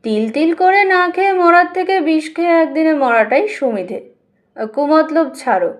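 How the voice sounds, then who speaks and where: A woman narrator plays a stubborn younger brother-in-law in an audiobook tale. He is scornful and blunt, brushing others off in a high-pitched voice. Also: expressive, unhurried. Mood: dismissive. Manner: mocking, blunt, dismissive.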